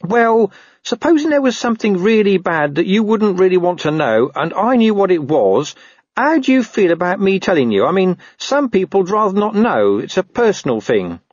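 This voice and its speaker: A man performs a scruffy male talking dog from a storybook. The voice is pitched high and swoops about with a husky edge. He is streetwise and wheedling, hesitating awkwardly in colloquial speech. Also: big pitch swings, normal speed, no echo, highs cut off. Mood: awkward, hedging. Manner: colloquial, hesitant, working-class phrasing. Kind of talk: audiobook character voice.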